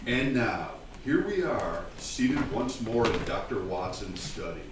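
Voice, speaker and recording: A man's voice: on the low side, very animated, a little slow, over loud music. A man playing a genial old-time radio announcer, with a presentational delivery in a staged radio play. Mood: genial, presenting. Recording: very echoey stage, distant mic.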